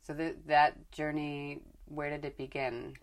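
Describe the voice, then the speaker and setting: A woman's voice: deep voice, little pitch movement, measured pace, a crowd in the background. A woman from Northern California hosting a podcast interview, chatty, laughing easily and asking long rambling questions. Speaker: podcast host.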